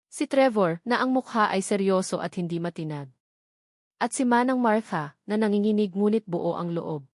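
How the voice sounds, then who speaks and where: A woman's voice: mid-range voice, a little mellow, no echo. Woman narrating a drama, dry sound. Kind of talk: scripted dramatic story narration.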